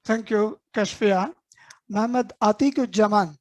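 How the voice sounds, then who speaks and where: A woman hosts an online meeting over a video call, calling on each attendee by name in a formal, measured way. Her voice sits at a middle pitch and sounds dry and close. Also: darkish tone, dry recording. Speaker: meeting host.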